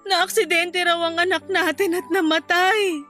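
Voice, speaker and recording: A very high, bright voice with a Filipino accent: an overprotective mother in a radio drama, emotional and quick to scold or plead. Faint music plays underneath. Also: flat delivery, full character voice. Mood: anxious, tearful.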